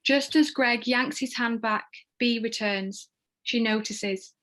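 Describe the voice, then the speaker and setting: A woman reads screenplay stage directions as narrator in a measured, descriptive manner. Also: fairly high pitch, fairly bright tone.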